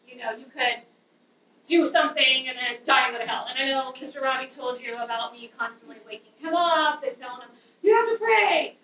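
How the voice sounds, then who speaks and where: A woman in her thirties nervously sharing a personal testimony to a youth group, with a loud voice and many ums. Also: sits high, slight background noise. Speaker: guest speaker giving a testimony. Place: dim church youth room with an audience. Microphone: handheld mic, far camera. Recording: roomy hall sound from a distance.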